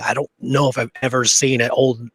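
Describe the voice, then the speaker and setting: Male co-host: medium pitch, colloquial and rambling commentary, dry studio-like sound. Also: little pitch movement, a little fast, a bit bright, husky, no echo. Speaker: podcast co-host. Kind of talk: casual chat, reacting and commenting.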